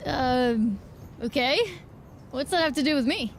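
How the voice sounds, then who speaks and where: A woman's voice: sits high, a little slow, a little hiss or hum. A woman playing a naive, perky, eccentric young wrestler with a girlish, gushing manner in a video game. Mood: innocent puzzlement. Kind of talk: animated video game dialogue.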